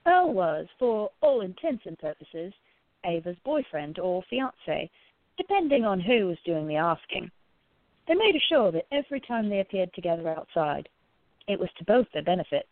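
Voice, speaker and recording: A woman's voice: fairly low pitch, sing-song, moderate pace, no echo. A woman reading scripted story narration for an audio drama. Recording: narrow phone-like sound.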